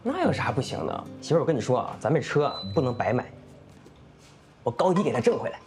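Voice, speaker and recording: Coaxing young husband, swooping intonation. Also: male voice, mid-range voice, sing-song, quick pace, darkish tone, faint music behind. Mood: eager, reassuring. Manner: coaxing, repetitive pleading, colloquial. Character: optimistic, coaxing young husband, apologetic to his wife. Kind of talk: naturalistic screen drama acting.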